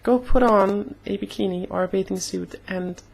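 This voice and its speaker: A young woman chats in a personal vlog with a husky, slightly hoarse voice. There is a good deal of fry in it. She speaks earnestly and haltingly, at a medium pitch. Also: darkish tone, low-quality recording. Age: young adult. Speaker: vlogger.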